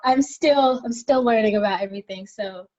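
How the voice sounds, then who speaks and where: A woman's voice: fairly high pitch, moderate pace, a little mellow. Young woman, casual guest, slightly dull audio. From born in Tanzania, in the United States since childhood. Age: 20s. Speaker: guest, college student advocate. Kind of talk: casual interview answers.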